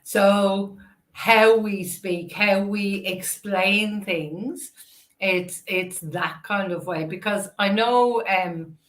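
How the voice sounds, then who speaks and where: A woman's voice: mid-range voice, very slow. Irish woman hosting in an echoey room. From Ireland. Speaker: interview host. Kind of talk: casual conversational interview. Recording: roomy, echoey sound.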